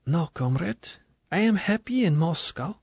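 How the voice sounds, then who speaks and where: A man's voice: on the high side, expressive, unhurried, gravelly, slightly rough, no echo, voice pushed up, heavily acted. A man voicing a frightened, guarded young female Soviet clerk in an audiobook. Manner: quiet, guarded.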